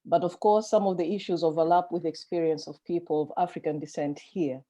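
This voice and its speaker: A woman from Malawi speaking as a panelist at an online conference, measured and reflective, with soft fillers. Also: on the low side, steady tone. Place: home, online video call.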